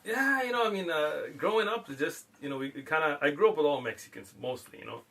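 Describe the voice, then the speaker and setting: Middle-aged man, relaxed storytelling, frequent laughs. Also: on the high side, fast, vocal fry. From Pakistan. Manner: laughs often while telling stories. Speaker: guest. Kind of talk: casual storytelling.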